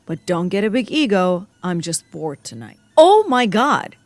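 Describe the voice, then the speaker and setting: A cynical female agent in an audio comedy. She speaks at a middle pitch in a dry, close recording, blunt, deadpan and sarcastic. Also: measured pace, no room sound, faint music behind. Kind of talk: comedy audio drama acting.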